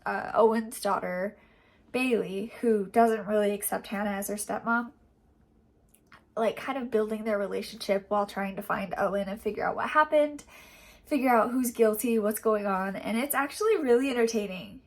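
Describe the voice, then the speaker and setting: A woman's voice: medium pitch, moderate pace. A young woman with a cold and a congested, nasal voice, chatting casually in a rambling reading update full of fillers. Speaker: book vlogger.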